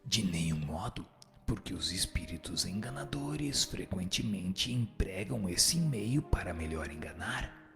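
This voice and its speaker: A man's voice: low voice, animated, measured pace, fairly bright tone, faint music behind, heavily acted. A man playing a solemn spirit instructor in a radio drama, reciting didactic answers in an admonishing, formal way. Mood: solemn, instructive. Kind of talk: dramatized reading of a spiritist text.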